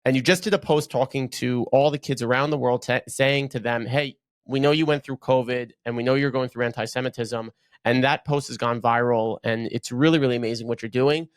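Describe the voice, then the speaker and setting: Podcast host guy, mid pitch, keen, lots of ums, dry close mic. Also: steady tone, brisk, dry recording. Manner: enthusiastic, repeats words, many fillers. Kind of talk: casual interview talk.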